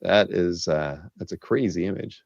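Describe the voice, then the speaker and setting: A man hosting a casual video-call chat about art, rambling and enthusiastic with lots of fillers, a bit hoarse from a cold. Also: low voice, moderate pace, a little mellow, no room sound, dull audio. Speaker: podcast host. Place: home office, video call.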